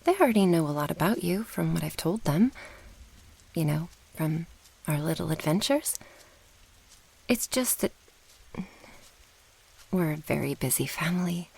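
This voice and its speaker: A young mage girl acted by a woman speaks in a soft, breathy voice with some creak. She is earnest and protective, in the close style of a fantasy ASMR roleplay. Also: low-pitched, animated, normal speed, long pauses, exaggerated voice, poor audio. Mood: friendly, gentle.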